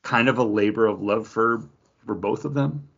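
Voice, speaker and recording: Low, husky man chatting casually. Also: moderate pace, a bit bright. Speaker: comics podcast co-host. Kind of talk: casual chat, book review. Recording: dull, lo-fi podcast audio.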